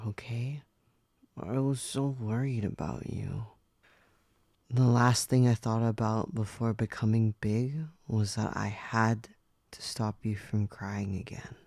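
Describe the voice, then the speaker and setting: A man as a devoted pet turned human, ASMR roleplay, soft breathy whispers, slow delivery, dry close mic. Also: mid-range voice, dry recording, exaggerated voice. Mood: excited, joyful.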